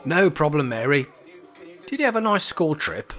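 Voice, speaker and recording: A man's voice: a little high, big pitch swings, moderate pace, a bit bright, faint music behind. An amateur actor playing a mischievous, cocky older man in a radio play, with a wry, teasing manner. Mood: feigned innocence, gleeful. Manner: wry, teasing, feigned innocence. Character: mischievous, cocky older male care-home resident in a wheelchair.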